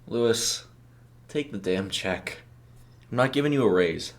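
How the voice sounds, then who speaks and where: A man's voice: lowish voice, expressive, unhurried. A man voicing a firm, curt male store manager in an audio short story. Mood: stern, dismissive.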